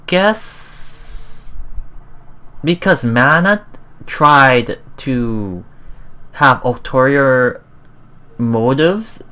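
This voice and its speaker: A let's play host reading a visual novel aloud in a halting way, with casual, musing commentary. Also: medium pitch, lively intonation, bright, forceful tone, clear, resonant tone. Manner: halting, musing, repeats phrases.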